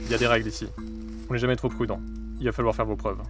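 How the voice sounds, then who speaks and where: A man's voice: mid-range voice, level tone, rapid-fire, over music, lightly acted. A man playing a wary, curt and commanding Resistance leader in a radio drama. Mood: stern. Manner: curt, authoritative.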